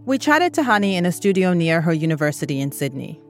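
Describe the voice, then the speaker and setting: Low-voiced woman narrating a documentary podcast. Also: big pitch swings, quick pace, faint music behind. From East Africa.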